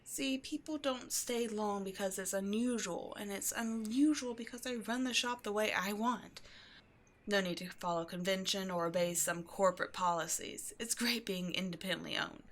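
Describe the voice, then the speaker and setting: A woman's voice: medium pitch, moderate pace, a bit bright, close to an everyday voice. A woman acts out an upbeat, quirky keeper of a mysterious occult shop. She chats in a breezy, casual way, as if to a new employee in an ASMR roleplay. Eerie matters get the same offhand, friendly treatment as everyday ones. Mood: wistful, hopeful. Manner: chatty, breezy, offhand about eerie things. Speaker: shop owner character speaking to a new employee. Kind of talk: ASMR roleplay, casual one-sided conversation.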